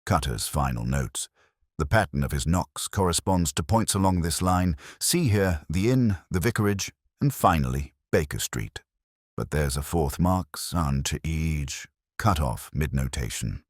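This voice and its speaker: A man voicing a calm, cerebral Victorian detective with measured, formal speech and quiet authority in an audiobook story. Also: rumbling low, no room sound, clean studio sound, exaggerated voice. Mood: coolly deductive.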